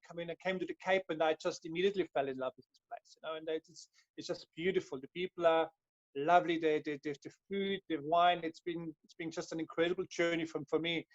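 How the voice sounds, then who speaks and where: An Austrian man with a notably high voice for a man answers interview questions, often hesitating and restarting his phrases. The sound is dry and close, with dull, lo-fi call quality. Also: steady tone, fast, no room sound. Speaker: hotel general manager.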